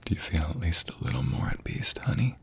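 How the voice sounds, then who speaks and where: A man playing a doting, tender husband in an intimate ASMR roleplay, speaking softly and often slipping into breathy whispers. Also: extremely low pitch, very animated, brisk, exaggerated voice. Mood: earnest, tender. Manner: soft, breathy, lingering delivery dropping into whispers. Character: doting, tender husband comforting his sweetheart.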